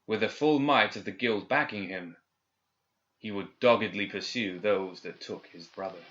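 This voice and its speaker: A man's voice: on the low side, normal speed, fairly bright tone, a little hiss or hum. A man reading a story aloud as scripted audiobook narration. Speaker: audiobook reader. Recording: slightly dull, band-limited recording.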